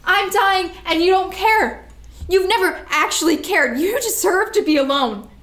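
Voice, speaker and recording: A woman's voice: extremely high pitch, a little slow, a bit bright. A woman acting a fearful, accusing adult younger sister in a staged play reading. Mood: hurt, accusing. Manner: insistent, wounded.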